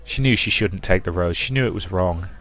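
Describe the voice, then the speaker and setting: Casting: male let's play narrator, fairly low voice, dramatic storytelling read, dry recording with little room. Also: brisk, fairly bright tone, no echo.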